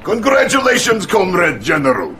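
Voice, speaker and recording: An actor playing a jovial, pompous middle-aged Soviet premier with a Russian accent and theatrical pauses in a video game. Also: male voice, sits high, huge pitch swings, bright, forceful tone, slight background noise, full character voice. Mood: grandiose. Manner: theatrical, dramatic swings and pauses.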